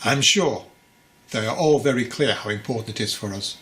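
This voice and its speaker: A man's voice: mid-range voice, moderate pace, fairly bright tone, close to an everyday voice. A man voicing an anxious, deferential father in an audiobook, speaking in a placating, respectful way. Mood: worried, placating. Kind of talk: audiobook character voice.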